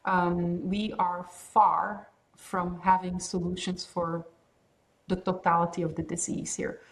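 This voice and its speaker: A woman from Belgium with a Belgian accent, a guest on a podcast interview, explaining in a measured, thoughtful way with fillers. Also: fairly low pitch, flat delivery. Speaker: pharmaceutical research head and guest.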